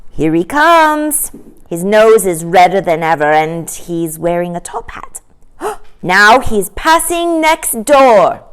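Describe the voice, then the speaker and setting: A woman voicing an excitable, chatty little boy in a children's storybook, eagerly reporting in breathless exclamations. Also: medium pitch, slow pace, clean tone. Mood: excited.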